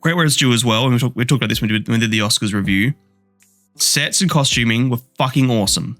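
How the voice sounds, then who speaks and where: A man's voice: medium pitch, quick pace, a bit bright, no echo, faint music behind. A man co-hosting a movie podcast, ranting bluntly and swearing freely as he reviews a film. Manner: blunt, swears a lot, rants with rising energy. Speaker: podcast co-host. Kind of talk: casual movie review chat.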